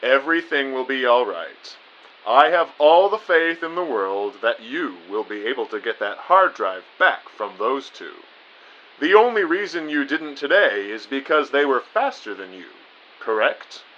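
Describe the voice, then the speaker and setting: A man's voice: medium pitch, big pitch swings, measured pace, pushed and bright, lo-fi sound. A man playing a genteel, encouraging spy agency boss in an audio drama, with formal and reassuring wording.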